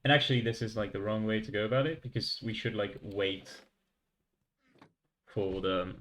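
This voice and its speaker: A man's voice: fairly low pitch, steady tone, moderate pace, a bit bright. A young man from France with a light French accent, thinking aloud as he codes on a livestream and chats with his viewers. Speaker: streamer. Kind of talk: casual coding livestream.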